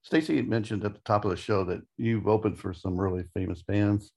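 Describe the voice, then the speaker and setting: A man's voice: on the low side, fairly quick, darkish tone. A man co-hosting a casual music interview and putting questions to the guest. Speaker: co-host, interviewer.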